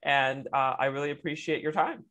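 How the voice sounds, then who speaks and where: A woman's voice: low-pitched, moderate pace, fairly bright tone. A woman hosting a podcast interview, agreeing enthusiastically and asking her guest questions. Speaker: podcast host.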